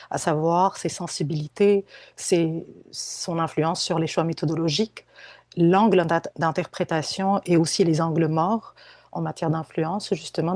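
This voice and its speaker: Middle-aged woman from Tunisia, low voice, dry close sound, halting academic lecture over a video call. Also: darkish tone, no echo. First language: Arabic. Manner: careful, hesitant, many fillers and restarts. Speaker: guest lecturer, anthropologist. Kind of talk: academic conference talk. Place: home or office on a video call.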